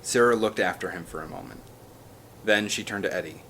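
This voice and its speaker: A man's voice: deep voice, brisk, a bit bright, slight background noise, rough recording. A man reading a children's adventure novel aloud with an emphatic, theatrical delivery. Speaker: audiobook reader. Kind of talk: dramatic scripted narration of a children's adventure novel.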